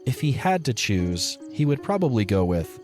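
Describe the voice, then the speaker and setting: A man's voice: medium pitch, sing-song, moderate pace, darkish tone, faint music behind. A man reading scripted story narration for an audio drama.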